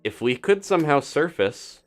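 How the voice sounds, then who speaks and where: A man's voice: fairly high pitch, big pitch swings, normal speed, soft background music. A man doing casual live commentary on a video game as he plays, thinking aloud. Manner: thinking aloud, talking to himself and his game character.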